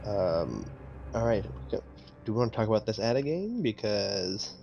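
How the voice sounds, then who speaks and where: A man's voice: fairly low pitch, very animated, moderate pace, vocal fry, warm tone. A man playing a tabletop roleplaying game, talking casually with the other players. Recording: faint background noise.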